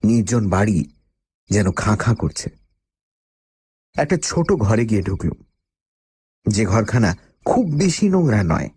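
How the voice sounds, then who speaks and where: A man's voice: lowish voice, sing-song, a little slow, pauses often, a little mellow, slightly rough, no echo, full character voice. A man playing the uneasy first-person narrator of a radio horror story, telling it in a dramatic, suspenseful way. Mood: ominous, suspenseful. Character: male first-person narrator, uneasy job-seeker with a dog.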